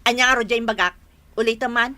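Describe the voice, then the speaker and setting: A woman's voice: a little high, steady tone, fast talker, pushed and bright. A woman playing a bossy, thrifty wife who scolds and commands in an Ilocano radio drama, with a northern Philippine accent. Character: bossy, sharp-tongued, thrifty wife of a worker.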